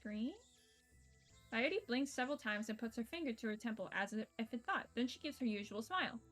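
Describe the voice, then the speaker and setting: A young woman streamer reading a visual novel aloud in a fairly high voice. Her narration is casual, broken up by laughs and the odd swear word. Faint game music sits underneath. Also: expressive, a little fast, fairly bright tone.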